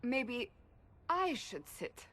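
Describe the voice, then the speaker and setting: An actress playing an anxious, well-meaning friend in a video game cutscene, speaking hesitantly and earnestly. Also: female voice, on the high side, animated, measured pace. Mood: nervous, earnest. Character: anxious, well-meaning female friend bearing serious news.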